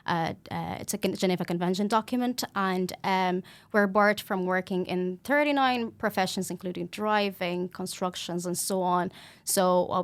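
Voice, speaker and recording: A woman in her twenties from Lebanon, telling her story as a podcast guest in an earnest, hesitant way full of ums and restarts. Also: medium pitch. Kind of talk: personal interview talk.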